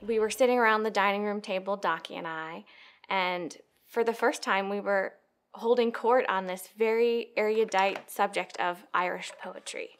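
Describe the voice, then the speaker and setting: A young American woman tells personal stories reflectively, with thoughtful, measured phrasing. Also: mid-range voice, clean tone. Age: young adult. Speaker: poetry reader telling personal stories.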